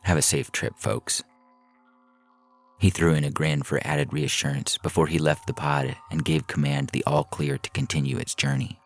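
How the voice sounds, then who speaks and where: A man's voice: rumbling low, normal speed, few pauses, soft background music. A man reading a science fiction story as narrator in a scripted audio fiction style.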